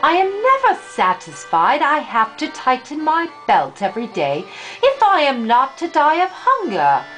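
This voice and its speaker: A miserable, ravenous storybook man, read by an older woman, laments slowly and plaintively. The pitch moves up and down through the complaint. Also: wildly swooping pitch, fairly bright tone, faint music behind, full character voice, poor audio. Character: miserable, never-satisfied hungry man in a forest.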